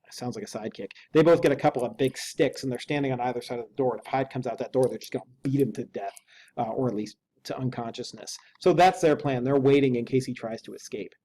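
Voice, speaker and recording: A man with a dark, mellow voice at an average pitch. He sounds like an English teacher, reading fiction aloud and explaining it casually. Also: a little hiss or hum. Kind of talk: reading a novel aloud with informal analysis.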